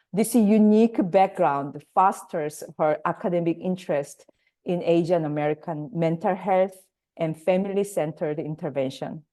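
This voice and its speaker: A woman's voice: on the low side, dark tone. Korean-speaking woman hosting a webinar. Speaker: workshop host and facilitator. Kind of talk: webinar introduction over video call. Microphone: video call.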